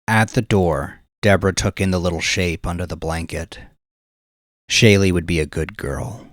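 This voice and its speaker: A man's voice: deep voice, dry recording, clean studio sound. A man narrating a story as a dramatic scripted audiobook reading.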